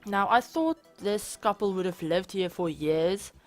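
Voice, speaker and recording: Hoarse woman chatting over soft music. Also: medium pitch, measured pace. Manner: rambling, self-correcting, chatty. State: hoarse from illness. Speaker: channel host. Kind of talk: casual game build voiceover. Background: soft background music.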